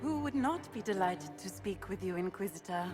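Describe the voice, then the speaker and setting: An actress playing a haughty, scheming Grand Duchess in a video game, aristocratic and theatrical. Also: female voice, mid-range voice, lively intonation, moderate pace, over music, lightly acted. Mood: feigned poise. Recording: long hall reverb.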